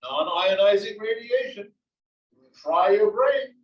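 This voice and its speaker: A man's voice: high-pitched, animated, slow. A man playing a grumpy, fussy aging husband with a dry, clipped manner in a comedy table read. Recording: muffled, echoey room, distant mic.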